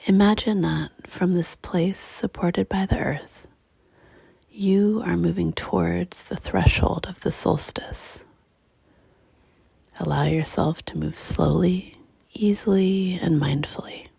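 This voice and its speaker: Woman, meditation guide, hushed and breathy, gentle slow phrasing, creaky edges, very dry close sound. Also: fairly low pitch, steady tone, dry recording.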